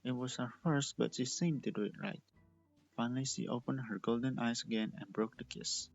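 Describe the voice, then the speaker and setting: A man reading a fan fiction story aloud as an expressive narrator. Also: mid-range voice, moderate pace, gravelly, faint music behind. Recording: dull lo-fi close recording.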